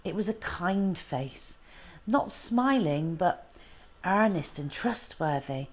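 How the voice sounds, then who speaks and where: A woman narrating a children's storybook expressively, with measured, dramatic delivery that can drop to a hush. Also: on the low side, lively intonation.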